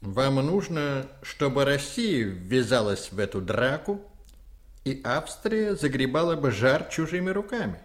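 A male actor playing a calculating, persuasive Jesuit priest with a measured, insinuating delivery in a historical radio drama. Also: medium pitch, sing-song.

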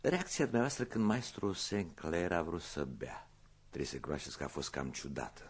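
Very deep, husky police commissioner. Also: male voice, big pitch swings, full character voice. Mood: suspicious. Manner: probing, measured, courteous. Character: shrewd, methodical male police commissioner questioning witnesses. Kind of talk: radio play acting.

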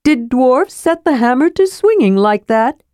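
A woman's voice: high voice, lively intonation, a little slow, a little mellow, dry recording, studio quality, voice pushed up. A woman voicing an eager, chattering male kender who protests indignantly. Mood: awed. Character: cheerful chattering male kender, small and nimble.

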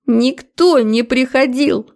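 Woman acting a whiny, high, tearful young woman. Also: vocal fry, a little mellow. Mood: tearful, cornered. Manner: whiny, drawn-out, pleading. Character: tearful, pleading, deceitful young woman, clingy and hysterical.

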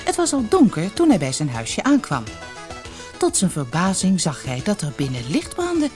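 Casting: female fairy tale narrator, lowish voice, extremely expressive intonation, faint music. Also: normal speed. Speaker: storyteller.

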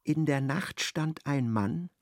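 A man narrating a literary radio play in a measured, vivid storytelling manner. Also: on the high side, music in the background.

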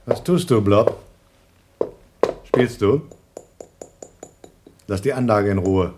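A man's voice: fairly low pitch, slow pace, background noise. A man playing an anxious, principled astronaut in a radio drama, stern, reproachful and suspicious, in clipped phrases. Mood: agitated, reproachful.

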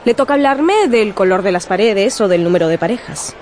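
A woman playing a proud, outspoken young gentlewoman with a teasing edge in a radio drama. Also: medium pitch, animated, fairly quick, lightly acted.

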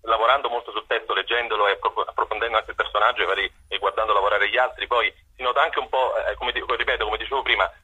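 A husky-voiced man answering conversationally in a radio interview, digressing and correcting himself, on a slightly lo-fi line. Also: lowish voice, fairly even intonation, fast talker, few pauses, pushed and bright. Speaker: actor, interview guest.

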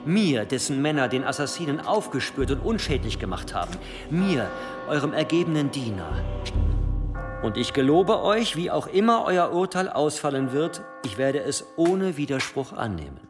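Cunning church inquisitor, formal and insistent, very animated delivery, echoing hall with music underneath. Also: male voice, on the high side, moderate pace. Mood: solemn, persuasive. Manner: formal, insistent, rhetorical courtly address. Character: cunning, relentless cathedral provost conducting an interrogation. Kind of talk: period radio drama acting. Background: dramatic music.

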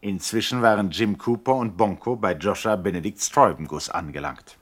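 An actor playing a wry storyteller, narrating a 1960s German comic crime radio serial. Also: male voice, lowish voice, moderate pace, lightly acted.

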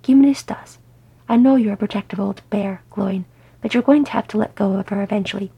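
An older dwarf wife in a storybook tale, voiced by a woman reader, sensible and affectionate. She scolds firmly and with emphasis. Her voice is husky and a little gravelly. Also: mid-range voice, fairly quick, lo-fi sound. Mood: firm, fond.

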